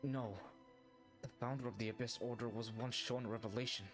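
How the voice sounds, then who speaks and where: A man's voice: medium pitch, fairly even intonation, fairly quick. A man casually reacting to a video game and reading its dialogue aloud as he plays. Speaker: game streamer. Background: faint game music.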